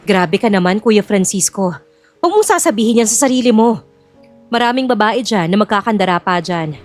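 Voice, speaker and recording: A woman's voice: medium pitch, animated, moderate pace, darkish tone, faint music behind, close to an everyday voice. A woman playing a scheming middle-aged sister-in-law in an audio drama, insinuating and sugary, with a Filipino accent. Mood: falsely sweet.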